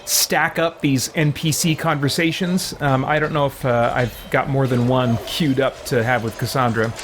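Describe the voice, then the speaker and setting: A man's voice: mid-range voice, fairly even intonation, normal speed, a little hiss or hum. A man giving casual live-stream game commentary, rambling as he thinks aloud and acts out lines. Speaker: streamer playing a roleplay game. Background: music.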